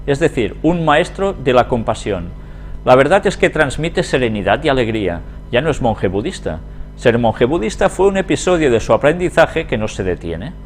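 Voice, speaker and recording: A man from Spain with a Spanish accent teaches in a religious lecture style, with a lively, varied pitch. He reads passages aloud and adds conversational asides and rhetorical questions, with faint music behind him. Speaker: Bible prophecy teacher. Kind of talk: religious lecture, reading articles aloud with commentary.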